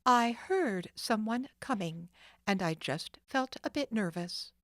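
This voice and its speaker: A woman's voice: sits high, big pitch swings, normal speed, fairly bright tone, no room sound, clean studio sound, pitched above the performer's own voice. A woman voicing a brave young girl detective who answers back coolly and defiantly. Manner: cool and defiant, firm.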